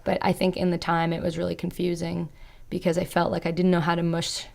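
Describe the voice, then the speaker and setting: A young woman talks in a low, gravelly voice full of fry, her pitch staying flat across long stretches. She speaks reflectively, with plenty of "like" and "you know". Her is Serbo-Croatian. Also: fairly quick. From Canada. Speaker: podcast guest. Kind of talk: casual interview chat.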